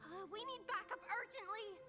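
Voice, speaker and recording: A woman voicing a tiny, excitable, childlike fairy companion in a cartoonish video game style. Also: extremely high pitch, moderate pace. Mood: frantic. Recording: game audio with loud music underneath.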